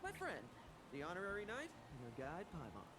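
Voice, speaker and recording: A breezy, swaggering young male knight for an anime game, his pitch leaping about playfully. Clear game ambience runs behind him. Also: huge pitch swings, fairly quick, background noise. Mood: playful, teasing. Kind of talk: anime video game voice acting.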